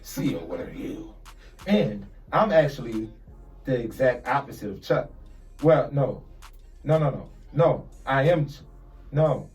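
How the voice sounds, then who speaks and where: A man's voice: mid-range voice, very animated, unhurried, pauses often, a little mellow. Male podcast host, mid-range voice, affirming and upbeat, distant mic with faint music. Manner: affirming, encouraging, chimes in often. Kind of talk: casual panel discussion.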